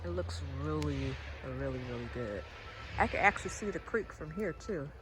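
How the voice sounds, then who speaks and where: A woman's voice: low voice, animated, moderate pace, background noise. Chatty woman with a cold, outdoors. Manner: chatty, loose and rambling, repeats words. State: has a cold, clears throat. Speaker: farm owner vlogger. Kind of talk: casual walking tour vlog. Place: outdoors on rural land in the rain.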